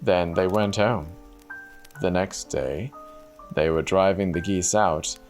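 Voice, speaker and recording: A man's voice: low-pitched, slow, soft background music. A man reading a fairy tale aloud as a storyteller in a dramatic style.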